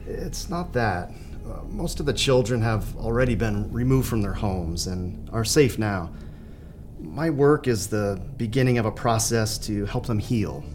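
Troubled professional psychiatrist, radio drama, somewhat deep voice, halting and courteous. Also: male voice, animated, darkish tone, soft background music. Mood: hesitant, troubled. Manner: measured, halting, polite. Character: earnest, hesitant male psychiatrist, troubled but professional. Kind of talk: audio drama acting.

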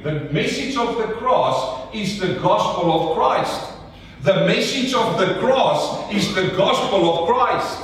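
High-voiced South African preacher in an echoey hall. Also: male voice. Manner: emphatic call-and-response preaching. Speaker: pastor. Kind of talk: sermon. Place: church hall. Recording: echoey church hall, mic at a distance.

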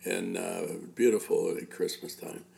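Older man, deep and very gravelly. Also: steady tone, a little slow, darkish tone. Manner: drops Spanish words into English, repeats himself. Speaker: interviewer, host. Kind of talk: casual chatty interview.

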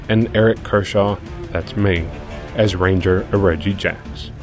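A man's voice: deep voice, darkish tone. A man reading scripted closing credits as the show's creator, in a tongue-in-cheek manner. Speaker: writer, director and producer reading closing credits.

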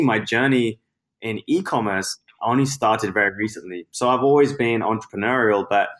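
A man's voice: on the low side, fairly bright tone. A young man from London with a British accent, chatting upbeat as a podcast guest about his business start. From London, England. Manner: chatty and upbeat, with quick laughs. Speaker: podcast guest, Amazon seller. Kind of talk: casual interview chat.

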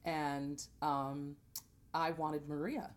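A woman's voice: sits low, level tone, extremely slow, slight background noise. An American woman, a theater singer and podcast guest, chatting casually and acting out bits of dialogue as she tells her story. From born in Jamaica, grew up in New Jersey.

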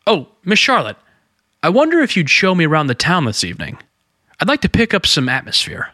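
An American actor playing an earnest young undercover detective, courteous but insistent, in a radio drama. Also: male voice, a little high, huge pitch swings, fairly quick, pushed and bright, dry recording, studio quality, exaggerated voice. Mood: friendly, casual. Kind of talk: old-time radio drama acting.

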